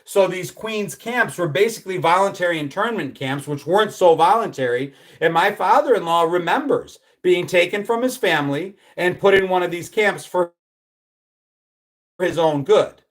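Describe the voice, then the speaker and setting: American guy in his 50s doing a live stream, reads comments, rambly and chatty, kinda high voice. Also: lively intonation, moderate pace. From United States. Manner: rambling, conversational, with many fillers and restarts. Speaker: livestream host. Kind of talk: casual live chat reading viewer comments.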